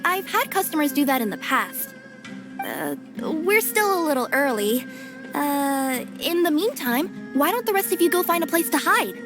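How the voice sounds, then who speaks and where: An earnest young cat-spirit courier with a high, lively voice that bounces up and down, honest to a fault. in a game cutscene, with music under the lines. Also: female voice, moderate pace. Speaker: delivery courier.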